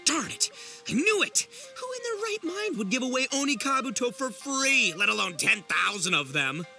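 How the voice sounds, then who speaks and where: A man's voice: extremely high pitch, big pitch swings, normal speed, creaky, bright, forceful tone, soft background music, exaggerated voice. An actor playing a brash, gullible, overconfident young oni man in a video game, with boastful, theatrical, slangy delivery. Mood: triumphant accusing. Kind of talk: animated video game voice acting.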